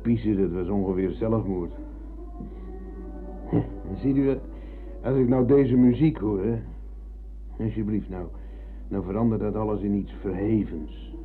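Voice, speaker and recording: An actor playing a rambling, philosophising older husband and embittered war veteran in a radio drama. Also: male voice, lowish voice, a little slow, long pauses, soft background music, close to an everyday voice. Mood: bitter, brooding. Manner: philosophising, theatrical, ironic. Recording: tinny, narrow-band old radio recording.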